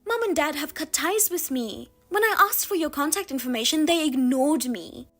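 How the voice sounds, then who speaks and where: A woman voicing a spiteful younger sister who steals husbands, with a high, sing-song, mocking manner. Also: expressive, fairly bright tone, soft background music. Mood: taunting. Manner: mocking, self-satisfied, drawn-out teasing. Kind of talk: narrated drama voice-over.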